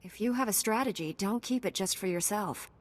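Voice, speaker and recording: An actress playing a confident, brisk Jedi knight heroine, direct and resolute, in a video game cutscene. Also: female voice, medium pitch, lightly acted. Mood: firm.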